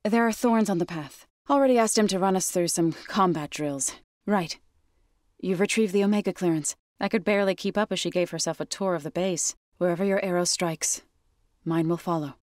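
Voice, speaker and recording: Low-pitched, earnest warrior woman, played by an actress. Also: darkish tone, no echo, studio quality, close to an everyday voice. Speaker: video game character. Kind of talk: scripted video game dialogue.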